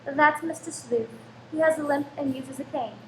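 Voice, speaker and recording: A woman's voice: high voice, normal speed, close to an everyday voice. An actress in a radio play voicing a fussy, anxious landlady who speaks politely and hesitantly. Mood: fussing, hurried. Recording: echoey room, faint noise.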